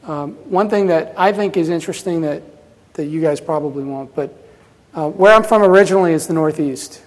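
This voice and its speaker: A man in his fifties gives a casual conference talk, voice sitting a touch high. He rambles with plenty of fillers and restarts. The sound carries a long reverb from a large hall. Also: brisk. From New Jersey, USA. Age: 50s. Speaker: presenter at a pinball festival.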